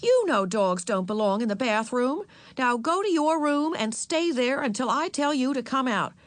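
A woman's voice: mid-range voice, very animated, moderate pace, dry recording, lightly acted. Woman playing a firm, exasperated storybook mother. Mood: stern. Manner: scolding, firm. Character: stern, exasperated mother of young children.

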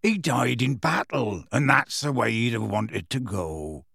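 A man voicing a gruff, kindly giant groundskeeper in a storybook reading, with halting, folksy, colloquial speech. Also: mid-range voice, animated, a bit bright, dry recording, clean studio sound, exaggerated voice. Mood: soft, sombre.

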